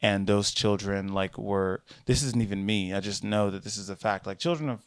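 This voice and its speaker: A man's voice: normal speed, smooth, dry recording, studio quality. Young man riffing in a casual monologue. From United States. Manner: animated, rambling, swings into high mocking voices. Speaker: podcast host. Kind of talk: casual solo commentary.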